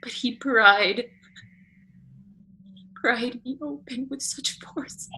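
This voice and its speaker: Slow, high-voiced haunted woman, played by an actress. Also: bright, forceful tone, slightly rough, full character voice, low-quality recording. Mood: anguished. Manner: slow, fragmented, mournful delivery. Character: gaunt, haunted young woman, broken and delirious with grief. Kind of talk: dramatic virtual play reading.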